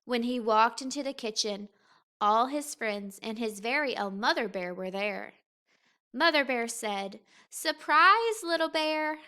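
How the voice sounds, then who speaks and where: A woman reads a picture book for young children. Her voice is fairly high and bright, and she takes her time, shaping each phrase expressively and deliberately. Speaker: teacher reading a picture book aloud. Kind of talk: expressive children's read-aloud storytelling.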